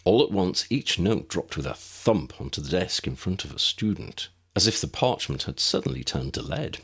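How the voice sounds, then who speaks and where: A man narrates a storybook with a low voice that swings widely in pitch. His delivery is expressive and measured, like a practised storyteller. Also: breathy edge. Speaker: audiobook narrator.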